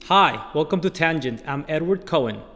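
Podcast host with reverberant sound. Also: male voice, fairly high pitch, animated, normal speed, a bit bright. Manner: scripted intro, then hesitant questions with fillers. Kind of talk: podcast intro and interview questions.

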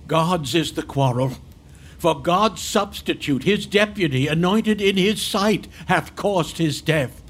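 A pious old nobleman with a high voice. He delivers Shakespearean verse solemnly, resigned and loyal, in radio drama style. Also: lively intonation, fairly bright tone, slightly rough, full character voice. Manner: solemn, measured formal verse.

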